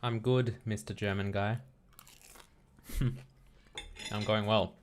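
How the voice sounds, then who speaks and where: A man's voice: fairly low pitch, extremely slow, a little hiss or hum. A young man streaming live, thinking aloud while coding and chatting casually with viewers, often trailing off into mumbles. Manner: relaxed, self-deprecating and talkative with chat. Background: keyboard typing and clicks.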